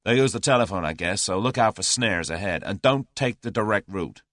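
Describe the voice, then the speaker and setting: A man voicing a brash, confident young American man with a brisk, folksy drawl. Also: lowish voice, animated, fairly bright tone, no room sound, studio quality. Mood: brisk, commanding.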